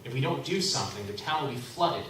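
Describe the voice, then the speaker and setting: A man playing a blunt, practical traveling tinker in a live radio play, breaking into loud angry shouts. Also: mid-range voice, lively intonation, fast, close to an everyday voice. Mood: furious. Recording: echoey hall from far off, background noise.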